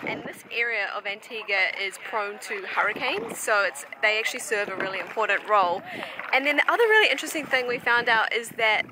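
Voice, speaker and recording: A woman's voice: medium pitch, background noise. A woman in her thirties chatting casually as a travel vlogger, speaking to a camera held at arm's length. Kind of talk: casual vlog chat. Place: outdoors, by water and on trail. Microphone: handheld camera at arm's length.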